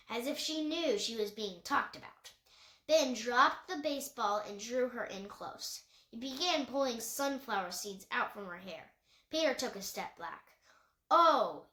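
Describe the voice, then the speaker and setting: A woman with a fairly high voice reads a story aloud in a noticeably echoey room. She narrates from the page and now and then trips on a word and corrects herself. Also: expressive, unhurried, sharp tone. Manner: occasional stumbles and self-corrections. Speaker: audiobook reader.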